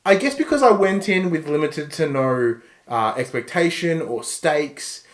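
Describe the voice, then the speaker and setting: A man's voice: on the high side, animated, measured pace. An Australian man co-hosting a casual film review chat, speaking bluntly and mockingly with plenty of swearing. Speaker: podcast co-host. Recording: echoey room.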